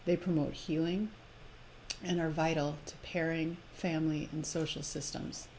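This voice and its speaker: A woman's voice: low-pitched, flat delivery, normal speed, a little mellow, low-quality recording. A woman hosting a live spiritual presentation, moving between informal asides and reading aloud. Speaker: host of a live spiritual oracle card presentation. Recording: noticeable background noise, live stream.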